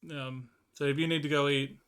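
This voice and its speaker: A man's voice: a little high, flat delivery, brisk, fairly bright tone, smooth. An Australian man streaming live, rambling casually as he reads and answers his chat and swears freely.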